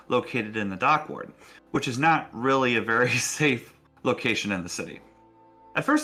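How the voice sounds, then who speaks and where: A man's voice: medium pitch, sharp tone. A man hosting a tabletop role-playing show as game master, speaking casually and encouragingly as he addresses players and viewers. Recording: faint music behind. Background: faint music.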